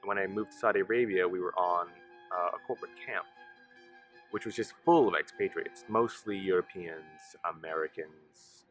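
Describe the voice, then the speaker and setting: A man's voice: low voice, moderate pace, fairly bright tone, soft background music, rough recording. A young man, a college senior, giving matter-of-fact answers in a documentary interview. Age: young adult.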